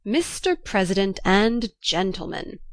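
A woman reading a spirited tomboy girl who plays a gentleman orator in a storybook, earnest and mock-parliamentary. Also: mid-range voice, sing-song, measured pace, no room sound, clean studio sound. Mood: mock-formal, pleading.

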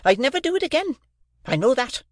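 A man voicing a harsh, bullying older housewife who scolds loudly, in a storybook reading. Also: very high-pitched, very animated, brisk, no room sound, clean studio sound, voice pushed up. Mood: scolding. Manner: loud, scolding, stressed words.

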